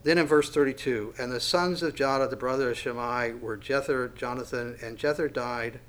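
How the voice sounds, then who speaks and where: A man in his sixties leads an informal Bible study, speaking at an average pitch. He talks in a folksy, self-deprecating way, often restarting sentences and filling pauses with "uh.". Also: a bit bright, a little hiss or hum. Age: 60s. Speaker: pastor.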